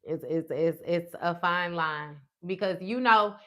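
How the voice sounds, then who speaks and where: Bright-voiced, blunt woman in her thirties. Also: on the low side, measured pace. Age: 30s. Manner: blunt and profane, talks straight to her viewers. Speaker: tarot livestream host. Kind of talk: casual livestream chat with viewers.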